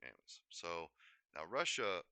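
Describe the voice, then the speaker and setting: A man's voice: deep voice, big pitch swings, moderate pace, sharp tone, clean tone, no room sound. A man livestreaming in a rambling, unscripted way, often hesitating, digressing and correcting himself mid-thought. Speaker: livestream host.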